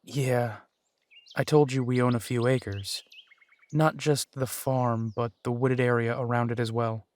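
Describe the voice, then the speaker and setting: A man playing a gentle, dry-humored werewolf private eye and younger brother in an immersive roleplay audio drama, speaking softly and wryly. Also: medium pitch, steady tone, measured pace, no room sound, close to an everyday voice. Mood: gentle, reassuring.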